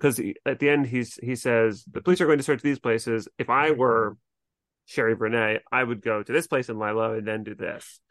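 Casting: female podcast host, very deep voice, dry studio sound, casual and inquisitive. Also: fairly even intonation, moderate pace, no room sound. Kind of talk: casual chat, asking discussion questions.

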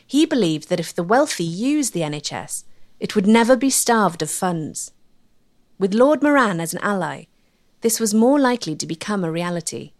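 Woman narrating history. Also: mid-range voice. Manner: clear, storytelling. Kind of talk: scripted documentary narration.

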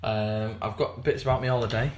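A man co-hosting a podcast in casual banter, his voice slightly hoarse, with a dry, teasing manner. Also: mid-range voice, steady tone, fairly quick, echoey room, slight background noise. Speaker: podcast co-host.

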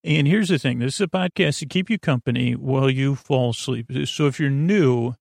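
Creaky, rambling sleep podcast host man. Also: mid-range voice, a little mellow, no echo, clean studio sound. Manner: meandering, halting, full of restarts and tangents. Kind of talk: rambling late-night monologue.

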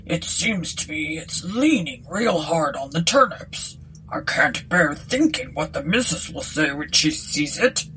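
Woman voicing a slow, homely country landlord. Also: low-pitched, expressive, a bit bright, a little hiss or hum, low-quality recording. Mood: worried. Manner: puzzled, homely country phrasing. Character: bothered, plain-spoken rustic English landlord, married man.

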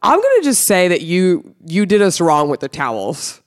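A woman hosting a podcast, telling a story in a blunt, bawdy, animated way, congested from a cold. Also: lowish voice, normal speed. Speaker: podcast host. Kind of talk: casual chat.

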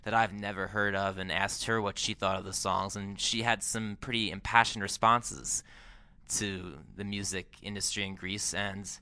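Man, American accent, bright gravelly voice, casual music podcast host. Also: lowish voice, no room sound. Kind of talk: casual podcast introduction and interview.

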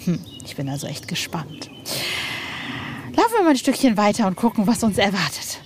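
A woman's voice: mid-range voice, big pitch swings, a little fast, darkish tone. A woman hosting a podcast reportage on the move, speaking in an informal, chatty and curious way. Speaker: podcast host. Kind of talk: casual on-location reportage. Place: on foot around a castle. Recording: noisy location recording with ambience.

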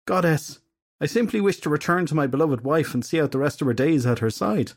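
A man voicing a humbled dark magician who pleads earnestly. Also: a little high, expressive, a little fast, pitched above the performer's own voice.

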